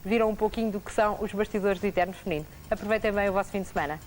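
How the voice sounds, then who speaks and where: Brisk Portuguese TV hostess on old tape. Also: female voice, medium pitch, a little mellow, low-quality recording. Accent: European Portuguese. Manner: brisk, prompting questions. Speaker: TV show host. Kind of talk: live studio interview. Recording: old VHS TV recording, faint hiss.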